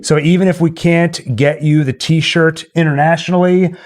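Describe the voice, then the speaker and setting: Higher-voiced man hosting a casual livestream. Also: fairly even intonation, moderate pace. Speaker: livestream host. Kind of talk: casual livestream chat with viewers.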